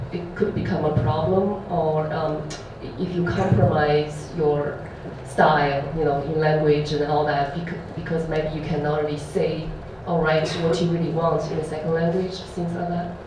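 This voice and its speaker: A woman in the audience asking a long, halting question full of fillers. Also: low voice, fairly even intonation, background noise. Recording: echoey hall from far off.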